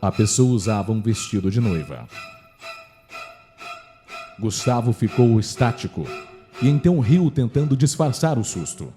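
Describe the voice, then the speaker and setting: Brazilian man, mellow mid-range voice, scripted horror narration, faint music. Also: medium pitch, lively intonation, unhurried, pauses often.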